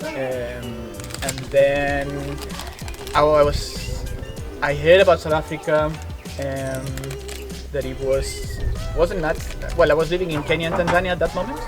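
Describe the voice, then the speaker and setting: A man from Spain, interviewed outdoors on a trail, speaking haltingly and searching for words. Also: a little high, music in the background. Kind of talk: casual interview.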